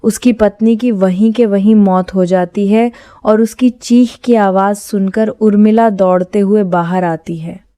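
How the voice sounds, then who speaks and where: A woman's voice: medium pitch, fairly even intonation, a little slow, few pauses, warm tone, dry recording. A woman reading scripted horror story narration as the narrator, recorded close like a studio voice-over.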